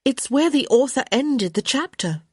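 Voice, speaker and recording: A pert young society lady, voiced by a female narrator, witty and unrepentant. Her pitch is lifted and lively as she answers back with polite cheek. The storybook dialogue sounds dry and close. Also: a little slow, dry recording. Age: young adult.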